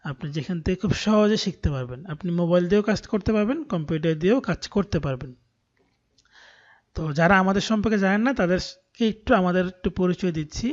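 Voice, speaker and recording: A man's voice: high voice, fast. A man with a Bangladeshi accent giving an explanatory screen-recorded tutorial, often checking in with listeners. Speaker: online course tutor. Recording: dull, muffled lo-fi voice recording.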